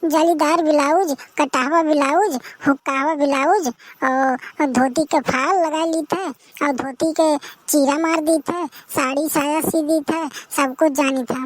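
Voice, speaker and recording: A high-voiced, folksy village woman played by a female performer with an Awadhi accent from rural northern India. She chatters boastfully and banters through cartoon comedy dialogue. The sound is dry and close. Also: fairly even intonation, unhurried, no echo, rough recording. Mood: cheeky.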